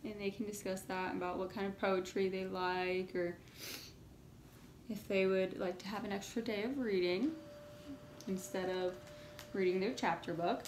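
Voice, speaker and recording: A woman reading a children's book aloud in an expressive, emphatic way and talking to her listeners. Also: mid-range voice, moderate pace, long pauses. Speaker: read-aloud reader addressing listeners. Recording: echoey room, faint background noise.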